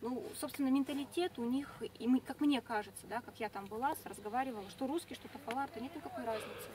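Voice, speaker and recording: A young woman guide explaining things fluently to a tour group inside a large tent, recorded from a distant camera. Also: on the high side. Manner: fast, fluent, informative, with fillers.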